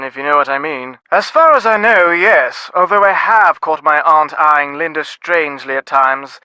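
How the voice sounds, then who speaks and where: An actor playing a busy, reserved middle-aged British diplomat in a video game, speaking in a formal, clipped, wordy way. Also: male voice, fairly high pitch, sharp tone, no room sound, rough recording.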